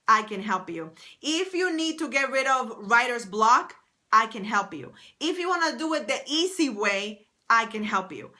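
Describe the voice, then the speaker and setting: Woman, writing coach, live-stream host, bright higher voice, bubbly and repetitive. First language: English. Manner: enthusiastic, repetitive, reads out viewer names. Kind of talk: live stream chatting with viewers.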